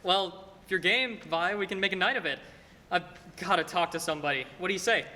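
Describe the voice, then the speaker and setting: A young man acting a restless, defensive small-town man in a stage radio play, stammering and halting as he goes. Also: high voice, bright, forceful tone. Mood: eager, dreamy. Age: teenager or young adult. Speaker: high school student actor. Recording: echoey hall with background hiss.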